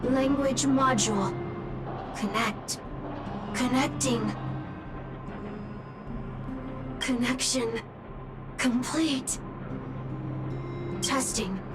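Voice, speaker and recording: Game robot, caretaker type, loyal and simple,; very slow, high, clipped phrases over game music. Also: female voice. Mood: plain. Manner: halting broken phrases, one or two words at a time. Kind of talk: video game cutscene dub.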